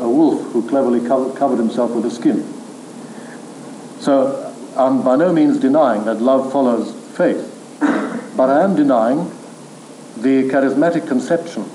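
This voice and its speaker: A man lecturing on theology to a room, measured and firm, answering questions from the floor. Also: medium pitch, dark tone. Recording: echoey room recording with faint hiss.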